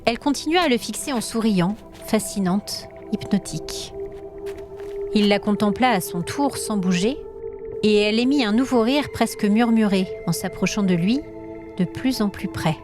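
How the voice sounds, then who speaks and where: A woman storyteller reading scripted dramatic narration in an expressive, suspenseful manner. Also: medium pitch, normal speed, music in the background.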